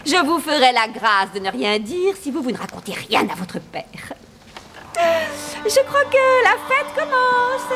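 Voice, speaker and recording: A woman's voice: sits high, sing-song, measured pace, music in the background. A woman voicing a wicked, haughty stepmother queen in a fairy-tale cartoon, mock-sweet and sneering in a theatrical way. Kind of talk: animated fairy-tale dubbing.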